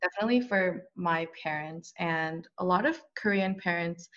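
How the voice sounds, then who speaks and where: A woman's voice: fairly low pitch, moderate pace, fairly bright tone, dull audio. A young woman chatting casually with friends, saying "like" often and laughing easily. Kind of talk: casual chat.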